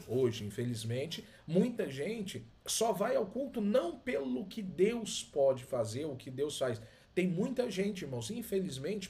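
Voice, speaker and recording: A man's voice: sits high, a little mellow. A middle-aged man with a Brazilian accent, teaching a Bible lesson in an emphatic, didactic way that repeats key words. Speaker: Sunday school teacher and pastor. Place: small indoor study with bookshelves.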